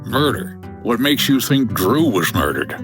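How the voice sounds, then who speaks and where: An actor playing a sardonic, unflappable hotel owner in a noir comedy radio drama, delivering lines deadpan. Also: male voice, medium pitch, unhurried, music in the background. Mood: dry, sardonic. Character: dry, unflappable American hotel owner, noir tough guy.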